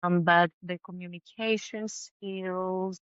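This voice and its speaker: A woman's voice: fairly low pitch, steady tone, takes it slowly, clean tone, no room sound, muffled recording. A woman from Greece with a Greek accent, a Pilates teacher chatting as a podcast guest in her second language, sometimes searching for words. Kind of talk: casual conversation.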